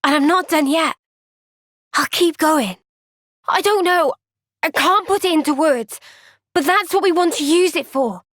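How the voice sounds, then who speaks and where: A woman voicing an earnest, kind young woman soldier in a video game, speaking gently and sincerely in a thoughtful, resolute way. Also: sits high, unhurried, long pauses, no echo, studio quality. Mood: resolved, reassuring. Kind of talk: video game cutscene voice acting.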